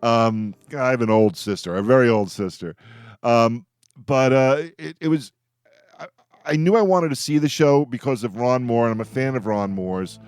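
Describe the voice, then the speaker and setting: A man's voice: mid-range voice, moderate pace, darkish tone, smooth, no echo, clean studio sound. An older man hosting a casual radio roundtable in a jokey, rambling way. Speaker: radio show host. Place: radio show with remote guests.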